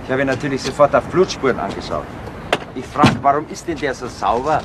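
A man's voice: mid-range voice, expressive, fast talker, lightly acted. An actor playing an officious, stubborn male police detective in a 1970s crime radio play, curt and insistent. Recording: noisy old radio recording.

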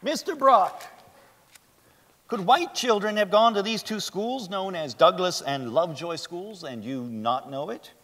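A man's voice: high-pitched, expressive, unhurried, a bit bright, full character voice. A man playing a skeptical lawyer pressing witnesses in a staged period courtroom drama. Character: pressing, skeptical cross-examining lawyer in an 1890s trial. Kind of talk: staged dramatic reading of a trial. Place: large theater stage, indoors. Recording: hall reverb from a theater stage.

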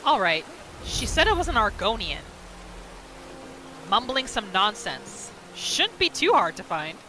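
Bright, lively woman gamer. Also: fairly high pitch, a little slow, a little hiss or hum. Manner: reactive, narrates her character in third person. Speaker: gaming video host. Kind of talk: roleplay gameplay commentary. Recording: faint game music behind.

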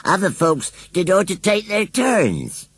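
A man voicing a grumbling rustic male scarecrow come to life, with slow country grammar. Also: high voice, huge pitch swings, fairly bright tone, breathy edge, no room sound, voice pushed up, exaggerated voice. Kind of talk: storybook reading.

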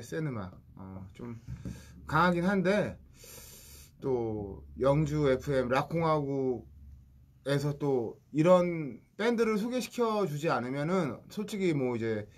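A male radio host with a fairly high, very animated voice, chatting in a loose, joking way. He keeps pushing his guest with playful questions. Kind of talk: casual radio chat.

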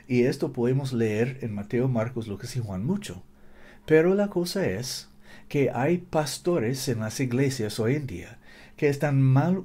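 Man preaching, second-language speaker, mellow husky voice, webcam in living room. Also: mid-range voice. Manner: earnest, halting, with frequent repeats and self-corrections. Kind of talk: bible teaching sermon to webcam. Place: living room with guitars on the wall. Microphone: webcam at desk.

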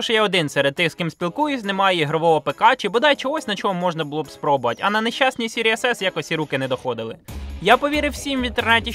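Fast, bright-voiced sardonic man over music. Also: high voice. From Ukraine, grew up in a village. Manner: sardonic, self-deprecating, blunt. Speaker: video essayist, reviewer. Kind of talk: scripted video review narration with slang and swearing. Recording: music under the voice. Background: background music.

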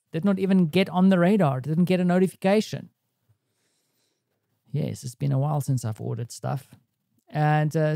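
A man with a soft, mellow voice pitched a little high talks through his day like an audio diary. He is conversational and reflective, as a podcast host speaking casually to his listeners. The sound is dry and close. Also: vocal fry, no room sound.

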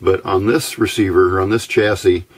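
A man with a fairly low, rough-edged voice talks through a hands-on demonstration. His delivery is casual and unscripted, with halting stretches where he thinks out loud. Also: fairly even intonation. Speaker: hobbyist presenter. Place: indoors at a workbench.

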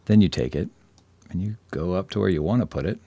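Very deep, gravelly man. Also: a little fast, dark tone. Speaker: tutorial presenter. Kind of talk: informal software demonstration.

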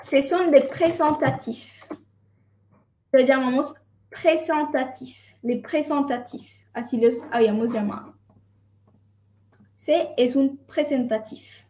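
A woman's voice: high voice, takes it slowly, pauses often, warm tone, slight background noise. A young woman teaching an online French class, patiently explaining and switching between French and Spanish. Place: home room. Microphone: video call, laptop mic. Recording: roomy video call audio.

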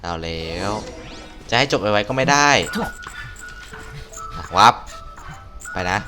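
A man's voice: fairly low pitch, lively intonation, slow, sharp tone. A man giving casual playthrough commentary as a game streamer, muttering to himself as he plays. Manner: muttering to himself while playing. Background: game music.

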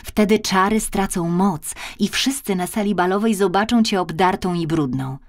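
A woman's voice: medium pitch, moderate pace, lightly acted. A woman voicing a young, kind fairy godmother with a sweet manner in a children's storybook tale. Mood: cautioning. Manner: sweet, soft-spoken.

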